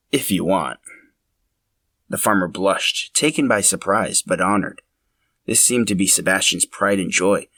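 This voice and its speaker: Bright, low-pitched male story narrator. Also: no room sound, clean studio sound. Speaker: podcast narrator.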